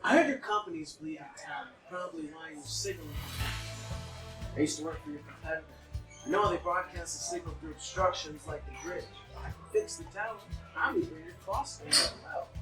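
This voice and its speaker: A performer playing a raggedy young male worker in a table reading, plain-spoken, earnest and quietly defiant. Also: mid-range voice, expressive, brisk, voice pushed down, lightly acted. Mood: proposing, earnest. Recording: echoey, distant mic, music behind.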